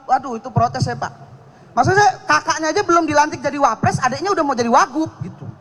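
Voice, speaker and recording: A man's voice: very high voice, sharp tone, rough recording. A man doing a stand-up comedy set on a live contest stage, cocky and teasing, with audience laughter behind him. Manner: cocky and teasing, slips into regional voices.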